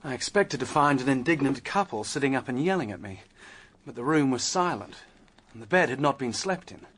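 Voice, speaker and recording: A man's voice: mid-range voice, animated, fairly quick, a bit bright. An actor playing a penniless, determined young Australian man who ruefully recounts his adventure in a radio drama. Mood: uneasy. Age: young adult. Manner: vivid storytelling, stammers when flustered. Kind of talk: radio drama acting and first-person narration.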